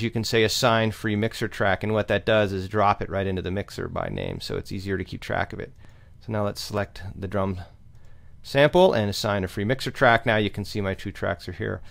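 A man presenting a step-by-step software tutorial in an explanatory, instructional way. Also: fairly low pitch, brisk, gravelly.

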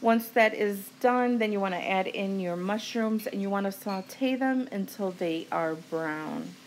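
A woman's voice: medium pitch, unhurried, slight background noise. A woman hosting a cooking vlog, chatting in a friendly way as she walks through each step in her home kitchen. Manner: friendly, explains steps plainly, with fillers. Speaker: cooking vlogger. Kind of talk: casual cook-along narration.

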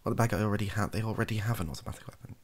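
A British man around thirty giving improvised, softly spoken comedic commentary in a rambling, dryly humorous way, often interrupting himself. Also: fairly low pitch, racing. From Wales, UK. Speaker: comedy ASMR video creator. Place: small cluttered bedroom or home office, indoors.

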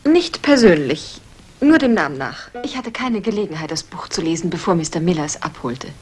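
A woman's voice: fairly low pitch, expressive, fairly quick, a little mellow, lightly acted. An actress playing a poised aristocratic lady, composed and cultivated, in a 1960s German radio drama. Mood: cool, guarded. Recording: faintly noisy old radio recording.

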